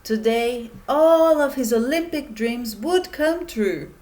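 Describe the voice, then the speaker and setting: A woman teacher reading a children's story aloud in a deliberate manner, speaking directly to young listeners. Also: on the high side, animated, darkish tone. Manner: deliberate, addressing children with questions.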